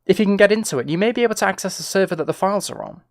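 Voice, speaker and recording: A man's voice: high-pitched, rapid-fire, no echo, studio quality, pitched above the performer's own voice. A man voicing an urgent, wary woman mission handler, with careful pronunciation, in an audiobook-style spy story. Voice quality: muffled, well-pronounced.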